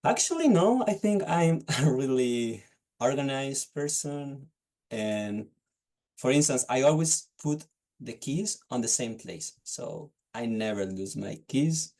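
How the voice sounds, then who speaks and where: A young man with a Chilean accent speaks slowly, in a low, gravelly, mellow voice. He pauses and restarts phrases as he gropes for words. He sounds like he is on a video call. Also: animated. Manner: hesitant, restarts phrases while searching for words. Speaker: test candidate. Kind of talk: unscripted speaking-test answers.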